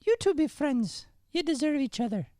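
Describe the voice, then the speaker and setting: Performer putting on a high-pitched haughty Irish girl. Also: big pitch swings, moderate pace, warm tone, no echo, studio quality. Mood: scornful. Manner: scornful, curt. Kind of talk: improvised old-time radio comedy.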